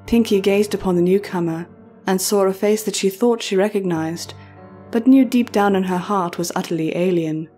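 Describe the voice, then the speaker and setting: Mellow woman narrating over soft music. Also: mid-range voice, normal speed. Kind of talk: scripted horror fan fiction narration.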